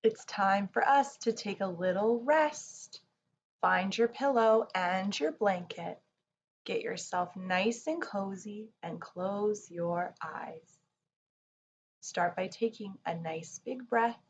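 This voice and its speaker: Slow, gentle woman guiding children. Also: medium pitch. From Canada. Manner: gentle, measured, soothing. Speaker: children's yoga teacher reading and guiding relaxation. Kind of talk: slow guided relaxation and read-aloud for children. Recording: slightly dull sound, faint noise.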